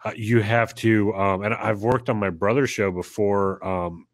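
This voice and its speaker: Guest podcaster, very low nasal male voice, dry close sound, easygoing rambler. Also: a little slow, no room sound. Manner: relaxed, rambling, laughs lightly. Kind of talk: casual interview chat.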